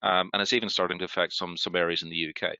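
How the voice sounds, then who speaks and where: A man's voice: sits low, flat delivery, rapid-fire, bright, forceful tone, no echo, lo-fi sound. A man with a Belfast accent, speaking earnestly as a panel guest in a webinar discussion, with restarts and stammers. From Northern Ireland.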